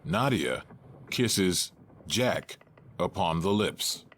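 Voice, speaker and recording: A man's voice: deep voice, expressive, very slow, fairly bright tone. A man narrating scripted stage directions for an audio drama, with faint noise behind him.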